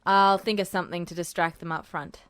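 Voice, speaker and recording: Woman doing a low, smooth, gruff policeman. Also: steady tone, a little fast, dry recording, lightly acted. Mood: hushed, wry. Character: gruff, wry, kindly male police officer and father. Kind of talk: fan fiction audiobook character voice.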